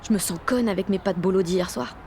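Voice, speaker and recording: A woman's voice: medium pitch, fairly quick, darkish tone, a little hiss or hum, lightly acted. An actress playing a slangy, self-conscious sixteen-year-old girl in a French audio drama, gushing and mocking herself as she narrates. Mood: anxious, self-doubting. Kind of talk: audio drama, slangy first-person inner narration and dialogue.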